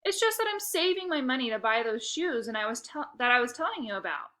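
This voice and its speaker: A woman's voice: fairly high pitch, very animated, fast talker, sharp tone, pitched above the performer's own voice. A woman reading a children's book, voicing a friendly, apologetic schoolgirl. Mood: grateful. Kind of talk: children's book character dialogue.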